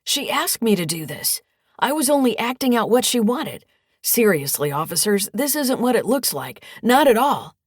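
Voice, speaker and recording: A troublemaking grown son in an audiobook, voiced by a woman narrator, defensive and emphatic. The voice is husky and pushed up with indignation, recorded very dry. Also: a little high, sing-song, moderate pace, no room sound, pitched above the performer's own voice. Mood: indignant pleading. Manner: spluttering, emphatic. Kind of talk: audiobook character dialogue.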